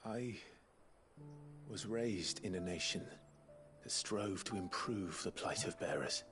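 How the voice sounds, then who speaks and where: Deep, solemn young nobleman. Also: over music. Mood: solemn resolve. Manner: solemn, measured, determined. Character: earnest, resolute young nobleman and freedom fighter. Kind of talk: dramatic video game acting.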